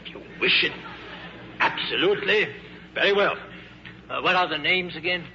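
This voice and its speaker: A forceful, fairly high-voiced German navy captain gives formal commands in a German accent. He is stern and pompous, heard on a dull old radio recording with faint noise. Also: moderate pace, full character voice. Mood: pompous, officious. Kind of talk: 1950s radio drama acting.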